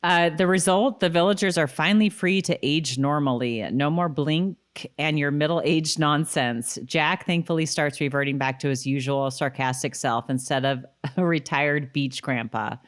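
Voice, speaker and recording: A woman's voice: sits low, normal speed. A woman co-hosting a podcast in casual recap chat, acting out quotes and teasing. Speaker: podcast co-host.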